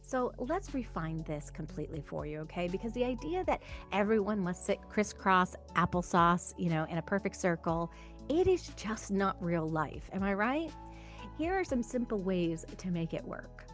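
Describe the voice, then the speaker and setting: Woman presenter for parent tutorial, medium pitch, reassuring and emphatic, faint music underneath. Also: sing-song, moderate pace. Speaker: reading tutor and host. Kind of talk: instructional talk to camera for parents.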